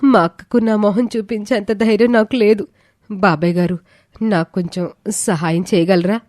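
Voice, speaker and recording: A woman's voice: medium pitch, fairly even intonation, moderate pace, a little mellow, no echo, clean studio sound, close to an everyday voice. A woman voicing a naive young wife in a story, anxious and regretful, with a pleading, emotional delivery.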